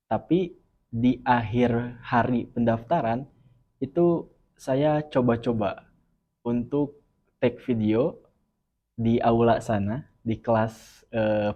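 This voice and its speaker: A young man from West Java, explaining earnestly and at a measured pace as a student guest on a podcast. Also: medium pitch, highs cut off. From West Java, Indonesia. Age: young adult. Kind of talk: podcast interview.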